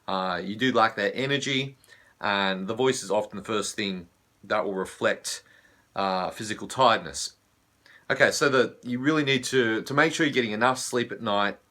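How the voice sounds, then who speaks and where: Husky Australian man with laryngitis. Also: lowish voice. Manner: relaxed, frequent filler "uh". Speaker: vocal coach. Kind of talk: teaching video.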